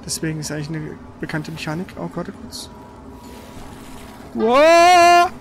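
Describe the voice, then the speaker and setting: A man giving casual, colloquial live commentary while playing a video game, prone to excitable exclamations. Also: on the high side, unhurried, noisy background. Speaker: let's player. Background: loud video game sound.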